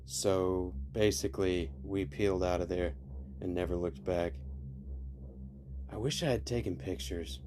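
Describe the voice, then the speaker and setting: Slow, low-voiced man narrating creepy stories. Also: fairly even intonation. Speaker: podcast host reading stories. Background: faint music.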